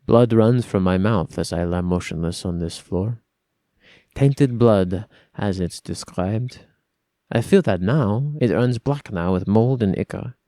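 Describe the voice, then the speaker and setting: A man voicing a brooding necromancer of a cursed bloodline, with a put-on subtle French accent, reading slowly and solemnly in a hushed voice. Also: lowish voice, no echo, clean studio sound. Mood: hushed, grim. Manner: hushed, slow, solemn delivery. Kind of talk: dramatic reading of a first-person lore piece.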